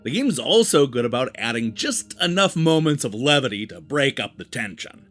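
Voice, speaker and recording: A man's voice: mid-range voice, very animated, normal speed, fairly bright tone. A man reading a scripted video game review as narration, with an emphatic, polished presenter's delivery. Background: background music.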